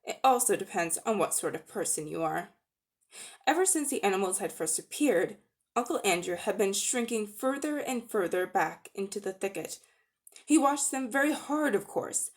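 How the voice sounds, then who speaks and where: A woman narrating a children's story as a dramatic reading, with expressive storytelling delivery. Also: mid-range voice. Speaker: audiobook-style narrator.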